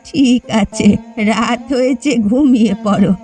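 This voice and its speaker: Concerned village wife, fairly high voice, woman acting. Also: a little slow, darkish tone, close to an everyday voice. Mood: reassuring. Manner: concerned, reassuring. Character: landlord's devoted, concerned wife, reassuring and pious. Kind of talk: dramatic cartoon dialogue. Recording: music behind the voice.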